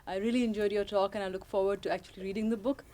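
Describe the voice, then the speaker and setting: A woman's voice: mid-range voice, fairly even intonation, rapid-fire, mellow. A woman journalist in the audience at a talk, politely opening her question.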